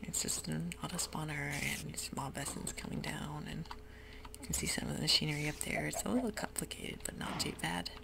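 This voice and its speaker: A woman whispering ASMR gameplay commentary in a soft, rambling way with many ums. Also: sits low, brisk, creaky, heavy background noise. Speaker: gaming ASMR host.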